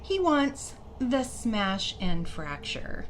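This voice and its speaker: A woman's voice: medium pitch, sing-song, very slow. Woman as a breezy female spa receptionist, hiss. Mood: nonchalant. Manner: casual, assured. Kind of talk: fantasy story character voice.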